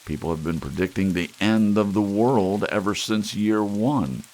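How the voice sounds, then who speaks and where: A man's voice: lowish voice, a little slow, a little mellow, pitched above the performer's own voice, lightly acted. A man voicing a brusque, skeptical prosecutor in an audiobook reading. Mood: scoffing. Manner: brusque, dismissive.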